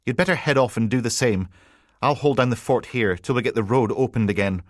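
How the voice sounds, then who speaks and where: A man voicing a brisk, commanding female senior police officer. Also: medium pitch, no room sound, studio quality, voice pushed up, natural voice.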